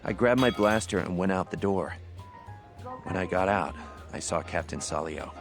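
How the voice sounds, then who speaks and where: A man's voice: deep voice, normal speed, over music. A man playing an amiable, hard-drinking cantina card player in a video game, chatty and colourful. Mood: sober, recounting. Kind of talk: video game character dialogue.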